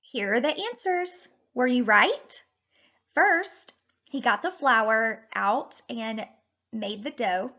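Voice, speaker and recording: A woman in her thirties, a teacher reading and talking to children in an animated, deliberate way. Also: a little high, muffled recording. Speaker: teacher reading a picture book aloud to children. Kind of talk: expressive children's read-aloud and lesson.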